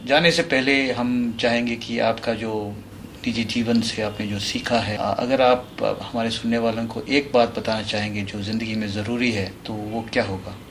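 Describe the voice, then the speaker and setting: A man's voice: lowish voice, normal speed. Polite male interviewer, noisy recording. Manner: polite, formal address. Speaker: radio interviewer. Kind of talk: conversational interview questioning. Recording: noticeable steady background noise.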